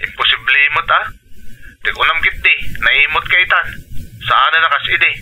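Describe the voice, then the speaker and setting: A man's voice: fairly high pitch, moderate pace, very noisy, natural voice. A man playing a pushy, demanding older brother in a radio drama, wheedling and insistent, with an Ilocano accent. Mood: annoyed, accusing.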